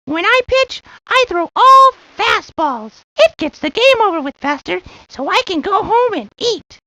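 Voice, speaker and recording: Casting: short, chatty little boy, extremely high and animated, earnest, for a kids' game. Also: measured pace, dry recording, exaggerated voice. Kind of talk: scripted video game character lines. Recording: dull, lo-fi 1990s game audio.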